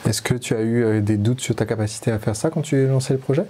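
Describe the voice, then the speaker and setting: A man's voice: medium pitch, fast, mellow, slight background noise. A man hosting an interview, asking long stacked questions with fillers. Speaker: interviewer and podcast host.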